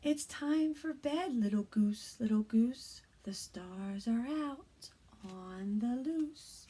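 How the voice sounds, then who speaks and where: Soft-spoken teacher reading a bedtime book. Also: female voice, a little high, animated, slow, slightly rough. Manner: soft, hushed reading, often whispering; gentle and encouraging to young children. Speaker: kindergarten teacher. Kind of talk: read-aloud of a bedtime picture book.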